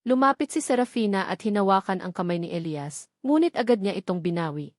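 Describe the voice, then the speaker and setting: A woman's voice: mid-range voice, lively intonation, brisk, no echo, clean studio sound. A woman reading a scripted romance drama as a story narrator.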